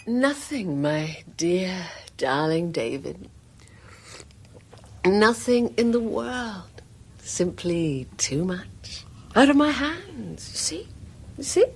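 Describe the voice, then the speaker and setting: An actress playing a haunted, fretful wife in a radio drama, in a hushed, halting, dreamy monologue. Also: female voice, medium pitch, sing-song, a little hiss or hum. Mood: helpless. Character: haunted, fretful wife recounting an eerie vision.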